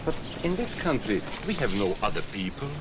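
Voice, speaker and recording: Brief: a measured immigrant professor, lowish voice, hissy 1940s film soundtrack. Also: male voice, very animated. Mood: earnest. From Hungary. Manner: measured, earnest, explanatory. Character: calm older male immigrant professor, reasoned. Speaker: former professor.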